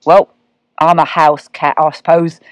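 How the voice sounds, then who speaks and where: Kindly male cat, drawling, unhurried, lower voice, put on by a woman narrator, dry sound. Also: level tone, no room sound, dull audio. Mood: gentle. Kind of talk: storybook reading.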